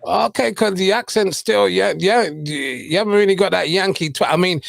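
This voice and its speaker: Man, radio host, highish voice, dry close sound, playful. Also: steady tone, brisk, creaky, fairly bright tone, dry recording. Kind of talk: casual interview.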